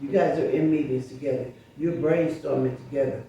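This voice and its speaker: Older woman, Chicago, animated storyteller; distant mic in a roomy space, faint hiss. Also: low voice, fairly quick, dull audio. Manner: storytelling, lively recollection. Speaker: guest, author. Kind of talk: reminiscing interview talk. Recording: echoey room, distant mic.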